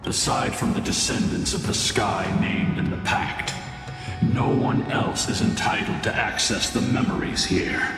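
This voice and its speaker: Ancient grave guardian from a game, slow formal talk, huge echo, music behind. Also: male voice, lowish voice. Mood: solemn. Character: ancient solemn male guardian bound by pact. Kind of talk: video game voice acting.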